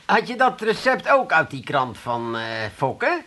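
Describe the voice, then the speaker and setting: Needling wisecracker, radio comedy: high, very animated, sarcastic and hypocritical. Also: male voice, unhurried, exaggerated voice. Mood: teasing. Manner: sarcastic, teasing. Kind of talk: comic radio play acting.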